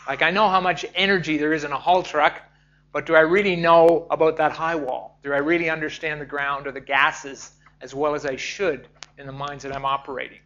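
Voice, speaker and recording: Bright-voiced Canadian man lecturing. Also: on the high side, a little fast. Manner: lively, asks the audience questions. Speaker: safety lecturer. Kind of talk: seminar talk. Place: seminar hall. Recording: dull lo-fi recording.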